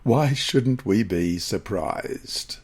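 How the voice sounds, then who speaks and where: A man with a slightly husky voice reads a scripted Bible study lesson in a measured, deliberate manner. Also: fairly low pitch, animated. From Australia.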